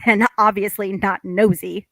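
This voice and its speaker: A woman's voice: mid-range voice, little pitch movement, a little slow, fairly bright tone, no echo, studio quality. A woman playing a flustered, wry young housewife in a table read of a stage comedy. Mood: coy, wry. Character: flustered young housewife, wary yet tempted, dryly teasing.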